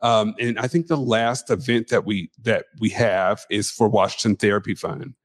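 A man hosting a casual chat show, lively and animated in his talk. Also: fairly low pitch, moderate pace, dry recording. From Pacific Northwest. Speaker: host.

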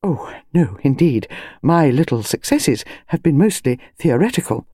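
Audiobook role: woman playing a prim, modest old village spinster, quiet, lowish and mellow, gently hesitant. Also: big pitch swings, a little slow, breathy edge, no room sound, studio quality, exaggerated voice. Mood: doubtful, modest. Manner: doubtful, modest, soft-spoken. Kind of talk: audiobook character voice.

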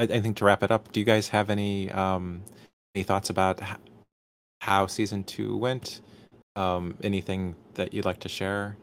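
A man's voice: sits low, a little hiss or hum. A man casually moderating a Q&A, a little hesitant and stumbling over his phrases.

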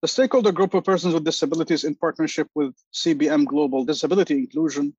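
Man in his 40s from lebanon, husky voice, dull dry sound, speaking carefully like a panelist. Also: on the high side, quick pace, darkish tone, no echo. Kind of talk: prepared talk on a video call.

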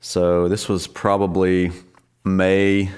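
A man in his forties with a very deep voice talks as a podcast guest. He is easygoing and self-deprecating, with plenty of ums and you-knows. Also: completely flat delivery, slow pace, darkish tone, smooth. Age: 40s. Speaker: worship leader, podcast guest. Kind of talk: casual interview conversation.

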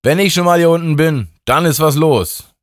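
Brief: easygoing boozy god, high and loud, as a male narrator puts him on. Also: normal speed, dry recording, studio quality, lightly acted. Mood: jolly.

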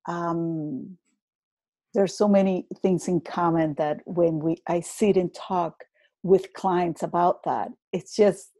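A woman with a Venezuelan Spanish accent, a native Spanish speaker, chatting as a guest business coach in a podcast interview. She speaks in a slow, reflective way, with many fillers and repeated words. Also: fairly low pitch, steady tone, warm tone, dry recording. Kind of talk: casual interview conversation.

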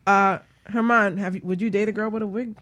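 A woman's voice: medium pitch, fairly even intonation, brisk, a bit bright, a little hiss or hum. A woman hosting a talk show in a casual, informal chat, feeling her way into questions.

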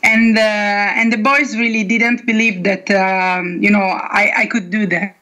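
Slow, bright-voiced Italian woman. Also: mid-range voice, flat delivery. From Italy. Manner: halting, many fillers and restarts. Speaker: metal band singer. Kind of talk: interview answers.

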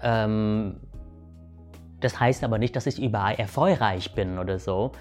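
Halting man. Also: fairly low pitch, darkish tone, faint music behind. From Vietnam. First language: Vietnamese. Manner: halting, many restarts and fillers, asks "weißt du". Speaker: guest. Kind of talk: reflective personal storytelling.

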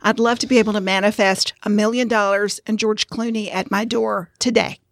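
A woman's voice: medium pitch, steady tone, fairly quick, vocal fry, no room sound, studio quality. A woman with a Southern US accent co-hosting a podcast, chatting casually in a playful, joking way. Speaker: podcast co-host. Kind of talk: casual conversational podcast chat.

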